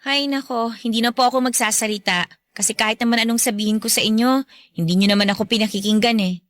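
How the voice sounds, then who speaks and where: A woman's voice: fairly high pitch, fairly even intonation, fast, no room sound, studio quality, natural voice. A woman playing a defiant, long-suffering young stepdaughter in a Filipino radio drama, exasperated and pointed, with a Filipino accent. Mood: sulky. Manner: exasperated, pointed, mock-polite.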